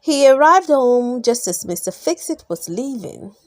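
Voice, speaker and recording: High, very lively woman reading bedtime stories. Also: no room sound. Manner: expressive, drawn-out emphasis, gasps and sighs, questions to young listeners. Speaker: storybook reader. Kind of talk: read-aloud children's bedtime story narration.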